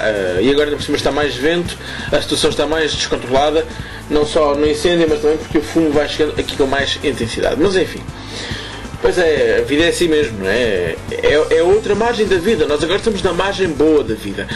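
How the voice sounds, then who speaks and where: A man with a European Portuguese accent hosting a casual radio show, hoarse from smoke, rambling and correcting himself with chuckling asides. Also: on the high side, rough recording. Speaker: radio host.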